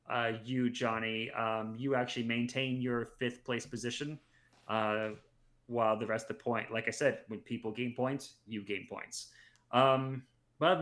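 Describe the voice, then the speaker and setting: A man in his thirties talks through sports standings in a casual, chatty way, often restarting his phrases. His voice is bright and crisp at an average pitch. He speaks into a headset at home. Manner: talkative, analytical, restarts mid-phrase. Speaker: podcast guest.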